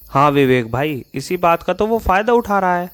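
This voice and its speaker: A man's voice: high voice, expressive, moderate pace, a little hiss or hum. A man playing a suspicious, grumbling male customer in a Hindi cartoon tale, insistent and accusing in manner. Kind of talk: Hindi moral-story cartoon dialogue. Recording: music behind the voice.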